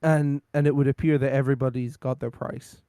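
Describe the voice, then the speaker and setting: A man co-hosting a podcast and chatting casually, rambling with lots of ums, his voice going hoarse. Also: medium pitch, steady tone, fairly quick, mellow, no room sound, studio quality. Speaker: podcast co-host. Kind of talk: casual wrestling recap chat.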